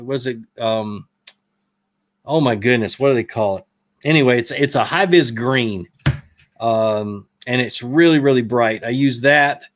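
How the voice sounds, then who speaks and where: Rambling Southern man answering live chat. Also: medium pitch. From Georgia, US. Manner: casual, rambling, self-correcting. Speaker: fishing channel host. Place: indoor studio room, brick wall. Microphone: desk mic in front.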